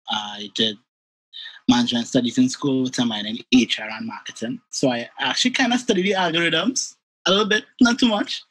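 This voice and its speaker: Young man, from Trinidad, husky voice, laid-back, joking, trailing off. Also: medium pitch, a bit bright, poor audio. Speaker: podcast guest. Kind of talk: casual self-introduction. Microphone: video call.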